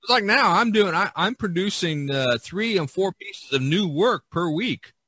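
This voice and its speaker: A man's voice: high-pitched, lively intonation, normal speed, bright, forceful tone. A man hosting a podcast, chatting casually and giving advice in an emphatic, self-deprecating way, often repeating himself. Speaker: podcast host and artist.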